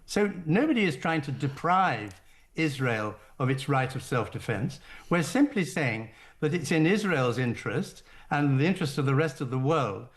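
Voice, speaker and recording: An older man speaking as a radio interview guest, setting out his points in a formal, deliberate way. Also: a little high, animated. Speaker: guest, former diplomat.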